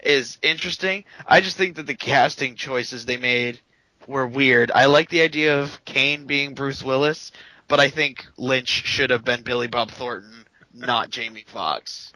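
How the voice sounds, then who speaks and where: A man talks through video games in a casual podcast, bright and forward in tone. He holds forth with strong opinions, often tripping over himself and restarting his sentences. The sound is dry and close. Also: mid-range voice, a little slow, no room sound, poor audio. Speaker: podcast co-host. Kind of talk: casual gaming chat.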